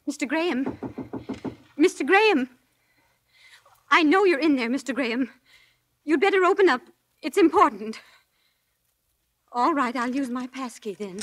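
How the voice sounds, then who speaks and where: A woman's voice: high voice, lively intonation, unhurried, pauses often, slight background noise. An actress playing an anxious, devoted woman who looks after a lodger, earnest and urgent, in 1940s radio drama style.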